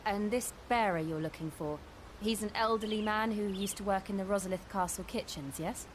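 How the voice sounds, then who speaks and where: A woman's voice: medium pitch, normal speed, slight background noise, natural voice. An actress playing a composed, polite and determined young woman in a fantasy video game. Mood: inquiring. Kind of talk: video game cutscene acting.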